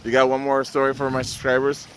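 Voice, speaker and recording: A man with an average-pitched voice hosts a casual interview. His talk is laid-back, slangy and sometimes profane. There is faint vehicle and ambient noise behind him. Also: monotone, fast. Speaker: car channel host. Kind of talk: casual chat, informal interview.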